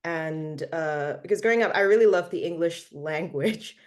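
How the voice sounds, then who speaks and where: A woman's voice: sits low, normal speed. A young woman from Malaysia, a singer-songwriter being interviewed, answering casually with many fillers and restarts. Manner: hesitant, many fillers and restarts, laughs often.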